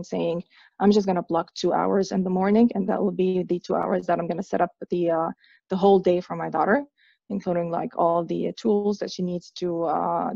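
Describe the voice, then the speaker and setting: A woman from Egypt in her thirties talks haltingly, full of fillers and restarts. Her voice is heavily gravelly and mellow. The recording is dry and dull. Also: lowish voice, dry recording. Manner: hesitant, many fillers and restarts. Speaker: guest. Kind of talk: conversational interview.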